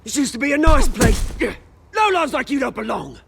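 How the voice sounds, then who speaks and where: An actor playing a scrappy, cocky criminal with a bitter streak in a video game, with a British accent and slangy, theatrical swagger. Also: male voice, very high voice, big pitch swings, normal speed, vocal fry, a bit bright, slight background noise, exaggerated voice. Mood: furious, menacing. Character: scrappy, volatile male criminal old friend, bitter and cocky.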